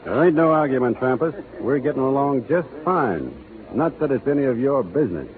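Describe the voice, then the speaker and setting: Male actor as easygoing cowboy hero, Western American drawl, folksy, lively intonation, thin vintage radio sound. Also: medium pitch, brisk, close to an everyday voice. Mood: cool, defiant. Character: easygoing, teasing young cowboy hero, cool under threat. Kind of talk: 1930s radio drama acting. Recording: tinny, band-limited old radio broadcast.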